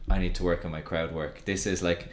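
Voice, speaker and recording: A man with a low voice hosts a podcast, asking questions in a thoughtful, slightly hesitant way. His voice rings out in a roomy, echoey space. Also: little pitch movement, brisk, slight background noise. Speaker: podcast host, teacher. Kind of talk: casual interview chat. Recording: roomy echo.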